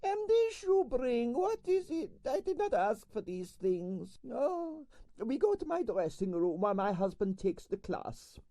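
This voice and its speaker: A man voicing a vain, bossy older Russian ballerina in a storybook, with a Russian accent, shrill falsetto and dramatic broken English. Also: very animated, measured pace, dark tone, no echo, full character voice. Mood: bossy, chatty.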